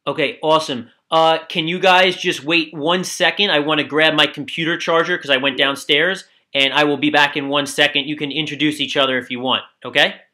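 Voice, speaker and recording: A slightly high-voiced man with a bright, clear tone runs the discussion casually. He keeps things friendly and upbeat between the two guests. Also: steady tone, fairly quick, few pauses. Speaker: discussion moderator. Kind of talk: casual moderating. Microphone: video call.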